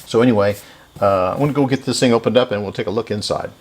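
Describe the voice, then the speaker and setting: A man giving casual, unscripted narration of hands-on repair work, halting and thinking aloud as he goes. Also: lowish voice. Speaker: repair video host.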